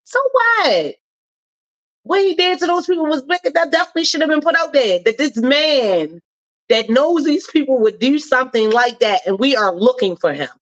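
A woman's voice: high-pitched, lively intonation, normal speed. Woman hosting a live show, emphatic and slangy, kinda stuffed up, muffled lo-fi sound. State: a little stuffed up. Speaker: host. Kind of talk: casual live-show chat and commentary.

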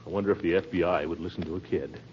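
An actor playing a pompous, easily rattled middle-aged American uncle, blustering and stammering when flustered, in 1940s radio comedy style. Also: male voice, deep voice, fairly even intonation, very fast, natural voice. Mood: blustering, impatient. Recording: muffled, noisy old radio recording.

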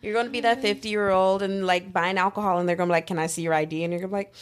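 A woman's voice: mid-range voice, fairly even intonation, racing. A woman in her twenties in a casual chat, laughing often and telling stories in slangy talk. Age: 20s.

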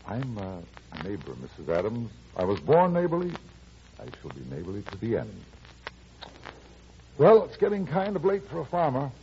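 An actor playing an easygoing, wry middle-aged farmer and suitor with an American accent in a classic radio drama. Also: male voice, mid-range voice, wildly swooping pitch, moderate pace, darkish tone, slight background noise. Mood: warm, persistent. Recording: dull lo-fi old radio recording.

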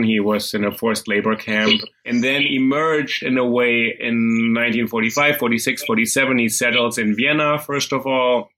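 A man's voice: mid-range voice, rough recording. A man whose first language is German, hosting a podcast and interviewing a guest in a thoughtful, earnest way. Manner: thoughtful, earnest, lively when chatting. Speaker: podcast host. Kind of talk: interview and scripted intro.

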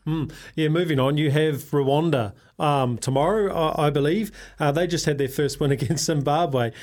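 A man co-hosts a sports radio show, friendly and joking, calling his guest mate as he asks questions. His voice sits a little higher than most men's. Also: moderate pace, a little mellow.

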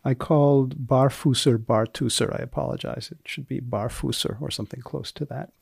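A man hosting a podcast, reading scripted lines and questions in a deliberate, dry manner with dramatic pauses. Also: medium pitch, steady tone, vocal fry, a little mellow, slightly rough, dry recording. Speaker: podcast host, magazine editor.

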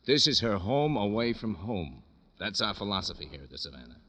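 An actor playing a jaded, rambling hotel clerk who philosophizes self-importantly in an old radio drama. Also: male voice, lowish voice, big pitch swings, brisk, sharp tone, full character voice. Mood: defensive, philosophizing. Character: chatty, jaded male clerk of a seedy hotel. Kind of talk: 1950s radio drama character acting. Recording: dull, noisy vintage radio recording.

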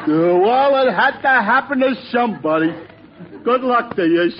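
An actor playing a meek, halting cuckolded husband in a vintage radio comedy sketch. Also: male voice, extremely high pitch, animated, a crowd in the background. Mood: resigned. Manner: halting, mock-indignant. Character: John, meek lovable wronged husband in a spoof. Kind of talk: 1940s radio comedy acting. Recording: dull noisy old radio with audience.

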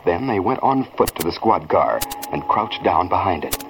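A man's voice: deep voice, very animated, normal speed, far from the mic. A man narrating an old-time radio drama in a scripted, scene-setting style. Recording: noisy old radio recording.

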